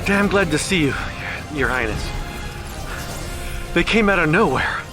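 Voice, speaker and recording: A man playing a rough-spoken soldier in an audio drama, blunt and urgent. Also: fairly high pitch, expressive, a little slow. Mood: relieved, winded. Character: rough-spoken male soldier reporting to his princess. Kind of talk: fan audio drama acting. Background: music.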